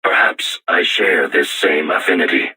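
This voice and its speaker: A young but learned robot weapon for a video game. The voice is very deep, piercingly sharp and heavily distorted by machine processing. It speaks in formal, measured, stilted phrases with a solemn temper. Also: male voice, slightly rough, exaggerated voice. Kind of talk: scripted video game voice acting.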